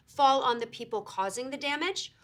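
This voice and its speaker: A woman's voice: high voice, lively intonation, moderate pace. A woman playing a poised, pointed TV news anchor delivering a scripted newscast.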